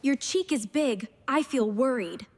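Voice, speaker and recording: A woman voicing a caring cartoon mother who speaks gentle, simple broken English. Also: high voice, animated, a little slow, soft background music.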